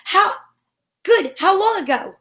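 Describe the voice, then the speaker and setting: A woman's voice: extremely high pitch, animated, slow pace, fairly bright tone, exaggerated voice. A woman playing a breezy, sensible, take-charge female sleuth in an old-time radio mystery, with a wry manner. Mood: urgent, grave. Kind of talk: old-time radio mystery acting with first-person narration.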